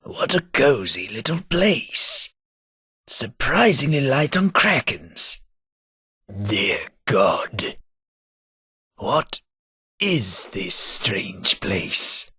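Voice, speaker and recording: A man's voice: mid-range voice, very animated, very slow, long pauses, sharp tone, breathy edge, dry recording, studio quality, full character voice. An actor with an English accent playing a polite, dryly witty undead male clerk in a game, in refined speech. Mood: wry. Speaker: companion character. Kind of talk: game voice lines.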